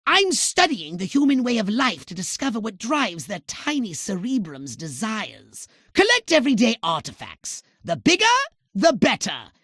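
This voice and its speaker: A man's voice: high voice, huge pitch swings, normal speed, fairly bright tone, husky, dry recording, clean studio sound, exaggerated voice. A man playing a small, haughty, impatient alien commander in a video game, giving orders in pompous, theatrical, scolding tones with clipped formal diction. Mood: pompous instructing. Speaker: mission controller giving orders.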